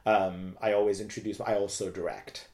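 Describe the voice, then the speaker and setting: Low-voiced, talkative man. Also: steady tone, moderate pace, darkish tone. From Turkey. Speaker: interview guest, theater director. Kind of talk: casual personal storytelling in an interview.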